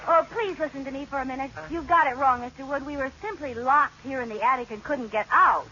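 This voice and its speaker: An actress playing an excitable young housewife with a wry streak in a vintage radio sitcom. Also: female voice, high voice, a little fast. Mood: earnest, explaining. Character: excitable young housewife, dryly wisecracking, easily alarmed. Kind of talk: 1940s-50s radio sitcom acting. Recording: muffled, noisy old radio recording.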